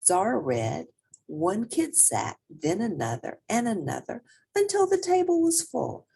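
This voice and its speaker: A woman reading a children's picture book aloud as an expressive storyteller with theatrical emphasis. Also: medium pitch, unhurried, vocal fry, a little hiss or hum.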